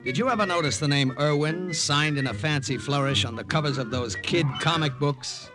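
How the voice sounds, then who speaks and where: A chatty, self-mocking little American spy, played by a male actor tells his own tale in 1950s radio style. His voice sits a bit high, with clear music behind it. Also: moderate pace, natural voice. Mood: wry, chatty. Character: wisecracking little American comic-book artist turned spy. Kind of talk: 1950s radio drama first-person narration.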